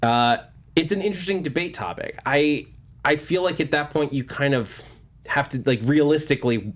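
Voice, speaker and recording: A man hosting a panel discussion, talking casually in a halting, wordy way and often backtracking as he explains. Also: on the high side. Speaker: host of a movie news panel show.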